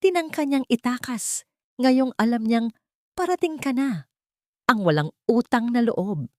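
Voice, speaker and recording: Woman voicing a distraught rich wife, high sobbing accusations, dry close sound. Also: expressive, measured pace, no echo. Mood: tearful accusing.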